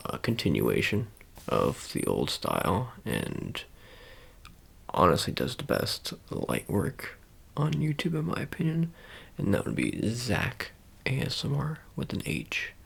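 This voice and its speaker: A man doing an ASMR roleplay, talking casually to the viewer in a halting, rambling, self-correcting way. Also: on the low side, huge pitch swings, gravelly, husky. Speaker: ASMR creator.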